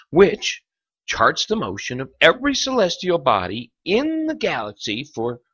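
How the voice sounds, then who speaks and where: A man narrating a lecture in a theatrical, suspenseful documentary style, pausing for effect between phrases. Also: medium pitch, a bit bright, slightly rough, lo-fi sound. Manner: theatrical, with rhetorical questions and suspenseful pauses.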